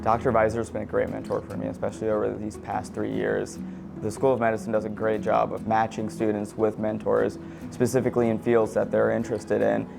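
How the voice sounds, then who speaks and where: A young man describing his years working at the racetrack and his mentor, with steady repetitions. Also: on the low side, a little fast, gravelly. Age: young adult. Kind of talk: interview testimony. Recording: guitar music underneath.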